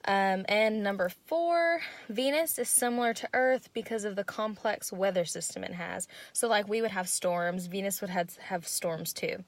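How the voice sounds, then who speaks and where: Young woman, student presenter, squeaky voice, mid-range pitch, dry close recording, steady numbered delivery. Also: normal speed, few pauses, dry recording. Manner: reads points in order with frequent ums.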